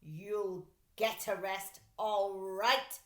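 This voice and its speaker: A woman's voice: mid-range voice, fairly even intonation, very slow, a bit bright. A woman voicing a triumphant, gloating man of authority in a storybook, speaking emphatically. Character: triumphant male authority figure catching crooks. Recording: roomy echo.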